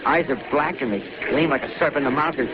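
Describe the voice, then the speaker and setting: An actor playing an on-the-spot radio reporter in a 1930s radio drama, talking in urgent, halting live commentary. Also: male voice, fairly high pitch, lightly acted. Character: excitable on-the-spot radio reporter, adult man. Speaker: field reporter. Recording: dull, hissy 1930s radio broadcast.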